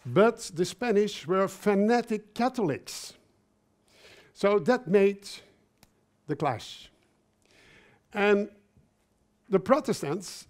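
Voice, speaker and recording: Slow-talking Belgian-raised man giving a talk. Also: high voice, a little mellow. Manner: slow, halting delivery with repeated words and joking asides. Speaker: speaker. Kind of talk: conference talk.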